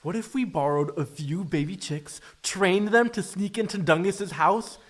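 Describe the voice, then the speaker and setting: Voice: medium pitch, measured pace, lightly acted. An actor playing an eager, naive twelve-year-old boy in a children's audio drama, earnest and a little hesitant.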